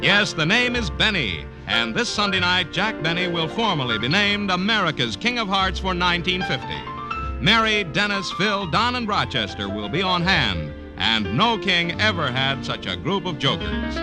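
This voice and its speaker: A man's voice: high voice, big pitch swings, moderate pace, over music. A man doing polished 1950 radio network announcing with an emphatic showman's delivery.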